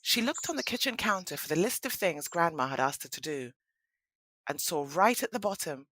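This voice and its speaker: A woman narrating a scripted audio play in an expressive, dramatic storytelling manner. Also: on the low side, normal speed, no room sound.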